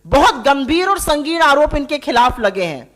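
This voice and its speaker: A woman's voice: high-pitched, very animated, normal speed, fairly bright tone. A woman spokesperson making an emphatic, accusatory press conference statement, pressing her points with rhetorical questions. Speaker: political party spokesperson.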